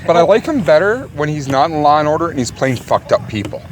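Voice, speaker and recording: A man's voice: very animated, quick pace, low-quality recording. Blunt man over faint traffic. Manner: blunt, crude, sarcastic. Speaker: podcast co-host reviewing a movie. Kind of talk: casual chat, movie review. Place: outdoors or in a car near a highway. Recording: faint highway traffic noise behind voice. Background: highway traffic.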